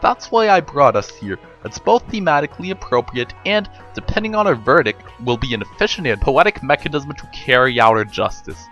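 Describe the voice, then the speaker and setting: A man's voice: mid-range voice, big pitch swings, brisk, hardly any pauses, fairly bright tone, lo-fi sound, faint music behind. A man voicing an articulate, vengeful pony who explains things with authority in a storybook reading. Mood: solemn, judging. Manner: formal, explanatory, occasionally profane.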